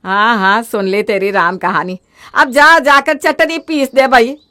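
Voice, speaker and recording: A woman's voice: fairly high pitch, steady tone, a little slow, bright, forceful tone. A woman voicing a nagging, old-fashioned older mother-in-law who grumbles and scolds in a family moral story. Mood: scolding.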